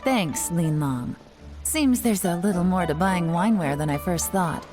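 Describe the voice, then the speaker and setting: An actress playing a cool, commanding female secret agent in a video game dub, measured and authoritative as she directs a covert operation, over game music. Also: lowish voice, expressive. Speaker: covert intelligence agent leading a surveillance operation. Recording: game soundtrack music underneath.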